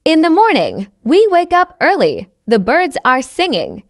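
A woman reading a scripted story for language learners, with careful enunciation and identical, measured phrasing. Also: high-pitched, wildly swooping pitch, dry recording, clean studio sound. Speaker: narrator of English learning stories.

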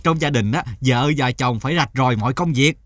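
A man's voice: mid-range voice, fairly quick, fairly bright tone, no echo, clean studio sound, lightly acted. A man voicing a smug, pompous husband who boasts of ruling his home in a comic cartoon dub. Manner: pompous, self-assured. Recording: music behind the voice.

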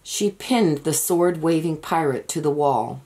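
A woman reading a children's picture book aloud as an expressive, deliberate storyteller. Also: low-pitched, husky. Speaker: storybook reader.

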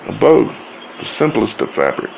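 Male host for informal scripture commentary; low-pitched, heavy vocal fry, halting phrasing, some background noise. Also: very animated, a little mellow, rough recording. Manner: halting, broken phrases with long pauses. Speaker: video host.

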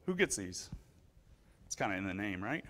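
A man's voice: lowish voice, sing-song, normal speed, a bit bright, slight background noise. A middle-aged man leading a children's sermon in a church, asking the children lots of friendly questions with frequent fillers. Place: church sanctuary with pews. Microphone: far camera.

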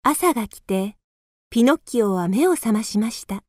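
A woman's voice: a little high, lively intonation, moderate pace, darkish tone, no echo, clean studio sound. A woman narrator reading scripted storybook narration for a radio drama.

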